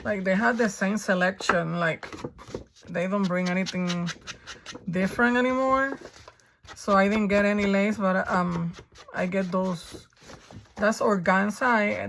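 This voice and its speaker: A woman with a raspy, hoarse voice from a coming flu, chatting her way through a crafting tutorial and thinking aloud as she works. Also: mid-range voice, a little slow, background noise. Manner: chatty, thinking aloud while working, frequent ums. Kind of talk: casual step-by-step crafting tutorial.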